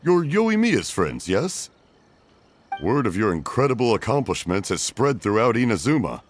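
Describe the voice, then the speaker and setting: Man playing a kindly older father, hugely expressive. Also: medium pitch, moderate pace, slight background noise, natural voice. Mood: genial. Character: kindly, fretful older father, slightly hard of hearing. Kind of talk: anime-style video game voice acting.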